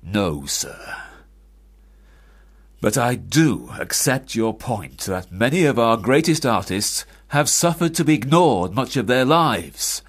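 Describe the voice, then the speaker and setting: Stern older father, husky and sarcastic. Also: medium pitch, very animated, slow pace, heavily acted. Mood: reproachful. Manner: formal, biting, rhetorical. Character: stern, sarcastic parson father scolding his failed adult son. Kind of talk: period radio drama acting.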